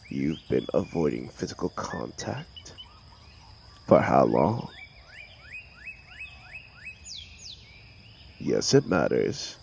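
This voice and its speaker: A man playing a brooding telepathic villain with a gentle protective side, in an intimate audio drama, speaking theatrically in a gravelly, sometimes breathy and hushed voice. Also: extremely low pitch, a little hiss or hum, full character voice. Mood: intent, commanding. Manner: slow, theatrical, hushed, commanding yet soothing. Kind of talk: audio roleplay drama, intimate comforting monologue.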